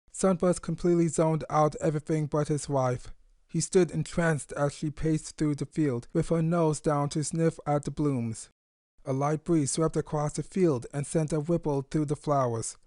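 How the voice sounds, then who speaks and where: A man's voice: on the high side, darkish tone, dry recording, studio quality. A man reading a scripted story aloud as its narrator. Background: faint music.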